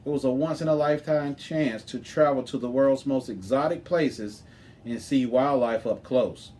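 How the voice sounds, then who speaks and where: A man's voice: mid-range voice, moderate pace, darkish tone, slight background noise. A man reading a children's chapter book aloud as scripted narration. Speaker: storybook reader.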